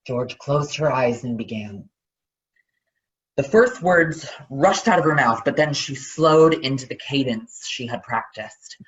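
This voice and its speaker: A man narrating children's fiction as a dramatic reading. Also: medium pitch. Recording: dull, lo-fi sound.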